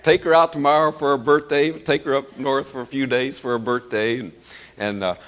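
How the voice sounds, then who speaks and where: A middle-aged man preaching in a folksy, chuckling manner, hoarse as he loses his voice, with plenty of fillers. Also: mid-range voice, fairly even intonation, a little fast, a bit bright. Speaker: pastor. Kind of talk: sermon.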